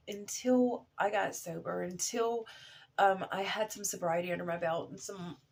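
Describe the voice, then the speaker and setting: A woman in her thirties with a cold and a sore throat, talking haltingly in a personal video diary, full of fillers and restarts. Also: medium pitch, breathy edge. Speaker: vlogger.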